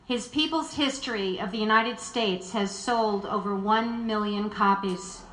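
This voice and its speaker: A woman's voice: mid-range voice, unhurried, noisy background. A woman hosting a live event, giving a formal prepared introduction. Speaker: event host introducing a speaker. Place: indoor live event before an audience. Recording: echoey live room.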